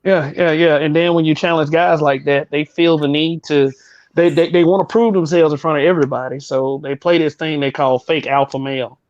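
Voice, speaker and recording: A man's voice: fairly high pitch, steady tone, a little fast, a little mellow, no room sound, highs cut off. A man co-hosting a casual chat, rambling and opinionated with frequent restarts. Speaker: podcast co-host.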